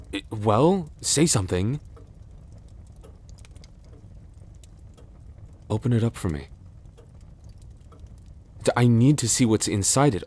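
A man playing a cursed, lovelorn young prince in a roleplay audio drama, halting and formal in his speech. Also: fairly low pitch, darkish tone, heavily acted. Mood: urgent, pleading. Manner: halting, breathy sighs and gasps, formal archaic phrasing.

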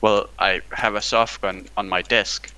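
Blunt, bright-voiced young man. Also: fairly low pitch, level tone, unhurried, clean tone. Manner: blunt, teasing, laughs often. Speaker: gaming channel co-host. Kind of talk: casual chat while playing.

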